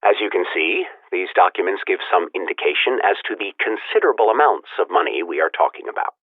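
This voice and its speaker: An actor playing a smooth, menacing businessman in a video game, speaking in a measured, formal way through an old telephone filter. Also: low voice, animated, no echo. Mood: coldly firm. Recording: narrow, tinny telephone line.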